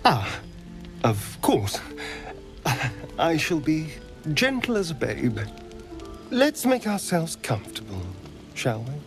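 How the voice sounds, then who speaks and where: A man's voice: fairly high pitch, huge pitch swings, faint music behind, heavily acted. Theatrical, swooping suave male vampire. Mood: silky, pleased. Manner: theatrical, dramatic delivery with drawn-out phrases. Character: suave vampire man, theatrical, sly and needy. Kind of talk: video game character acting.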